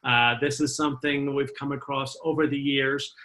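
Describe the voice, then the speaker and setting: A man's voice: mid-range voice, little pitch movement, normal speed, sharp tone. A middle-aged man with a Northern Irish accent, a flute player chatting as an interview guest, friendly and talkative with many fillers. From Northern Ireland. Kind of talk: interview guest, conversational storytelling.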